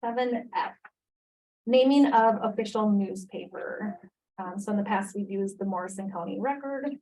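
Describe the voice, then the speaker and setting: A woman's voice: mid-range voice, normal speed, warm tone, low-quality recording. Woman chairing a board meeting. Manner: formal, reads motions and calls votes. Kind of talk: running a school board meeting.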